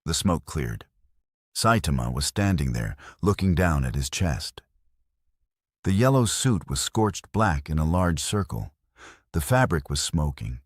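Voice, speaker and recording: Very deep, husky male storyteller. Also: big pitch swings, unhurried, dry recording, clean studio sound. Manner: dramatic storytelling. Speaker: narrator. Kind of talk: dramatic audiobook narration.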